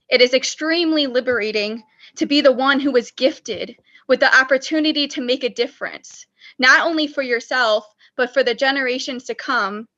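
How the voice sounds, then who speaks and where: A woman recites spoken word poetry over a video call in a measured, earnest way. Her voice is bright and sits a little high. The call audio sounds dull and muffled. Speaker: open mic poet.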